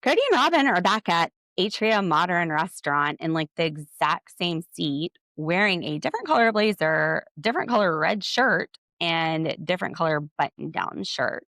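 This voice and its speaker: A woman recapping a show on her podcast, speaking with a lowish, gravelly voice full of vocal fry. Her tone is blunt and opinionated, with sarcastic jabs. The sound is dry and close, with no room around it. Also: animated, normal speed, hardly any pauses, a bit bright, no room sound. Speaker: podcast host. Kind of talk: solo TV recap commentary.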